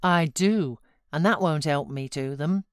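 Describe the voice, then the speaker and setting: A woman's voice: low voice, a little slow, smooth, no echo, clean studio sound. A British woman voicing a sulky, proud, self-pitying boy in a storybook reading. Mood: sullen, dejected.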